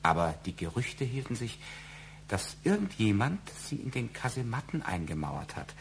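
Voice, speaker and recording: An actor in a radio crime drama playing a needling, evasive middle-aged husband, formal and deliberate in his insinuations. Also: mid-range voice, sing-song, a little hiss or hum. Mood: insinuating.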